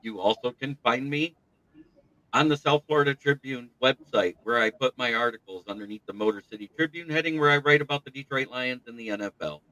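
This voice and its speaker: A male football writer talks eagerly on a sports podcast. His voice is sharp and forceful, and the sound is dry and close. He speaks in quick, punchy bursts. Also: mid-range voice, no room sound, poor audio. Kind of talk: casual sports talk.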